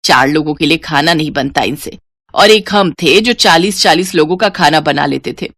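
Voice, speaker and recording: Woman putting on a nagging, low-pitched older mother-in-law. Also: moderate pace, a bit bright, dry recording. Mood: taunting. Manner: nagging, sarcastic. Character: scolding, taunting older mother-in-law, grumbling about daughters-in-law. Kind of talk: Hindi moral story cartoon voice acting.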